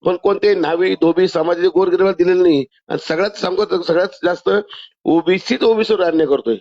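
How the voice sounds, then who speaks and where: A man's voice: sits high, brisk, a little mellow. A man with a Maharashtrian accent on a phone call, arguing insistently and cutting in. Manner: insistent, interrupting. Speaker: caller. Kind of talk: argumentative phone conversation. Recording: muffled lo-fi phone call recording.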